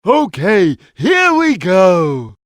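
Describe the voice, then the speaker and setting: A big, gentle cat from a video game. The speech is slow and simple, yet it swings widely in pitch. The recording is very dry. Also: male voice, huge pitch swings, no room sound, full character voice. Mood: eager. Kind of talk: video game character lines.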